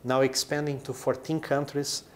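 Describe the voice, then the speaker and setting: A man's voice: medium pitch, level tone, moderate pace, slight background noise. A man in his forties from Brazil, a company chief explaining his business in an interview, with short emphatic phrases. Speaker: chief executive of a brewing company.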